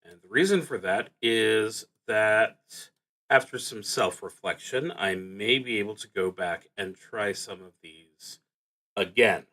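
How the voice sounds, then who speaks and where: A man hosting a solo tabletop roleplaying stream, casually explaining rules and haltingly thinking aloud as he reads. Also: lowish voice, fairly bright tone.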